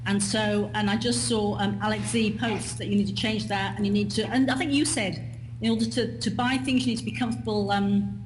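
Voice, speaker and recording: A woman talking casually as a webinar participant, haltingly thinking aloud. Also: mid-range voice, fairly even intonation, a little hiss or hum, poor audio. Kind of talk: casual conversation on a live call.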